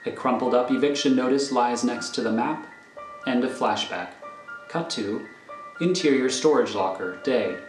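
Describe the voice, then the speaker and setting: A man's voice: mid-range voice, moderate pace. A man reading scripted screenplay narration as the narrator of a thriller. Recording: roomy recording over faint music.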